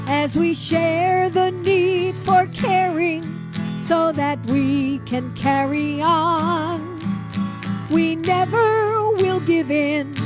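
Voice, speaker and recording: A woman sings in a very high voice, stretching each line out slowly. A loud guitar plays under her. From California. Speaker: singer. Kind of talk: singing a slow song with guitar. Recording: singing over loud guitar music.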